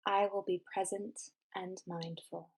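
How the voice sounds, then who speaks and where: Female meditation guide, mid-range pitch, measured scripted delivery with deliberate, instructional phrasing. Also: little pitch movement, slightly rough.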